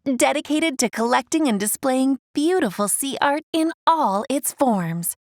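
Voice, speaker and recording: A woman's voice: sits high, expressive, measured pace, no room sound, studio quality. A woman voicing an eager, impulsive young mermaid princess in a storybook, youthful and dramatic. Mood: agreeable.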